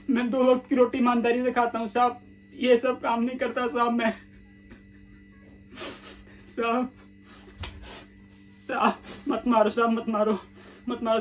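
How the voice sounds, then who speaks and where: A man's voice: very high voice, a little hiss or hum. A man playing a poor servant accused of theft, pleading in pain and calling his questioner "sir" over and over. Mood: begging, pained. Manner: pleading, repeating "sir" again and again. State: in pain from blows. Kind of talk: dramatic acting monologue.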